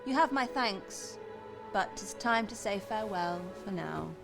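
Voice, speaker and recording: Slow, poised, vengeful madam, music behind. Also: female voice, mid-range voice, expressive, gravelly. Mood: grateful, intimate. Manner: measured, archaic, theatrical speech. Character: vengeful, seductive masked madam with a courtly manner. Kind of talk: fantasy video game voice acting.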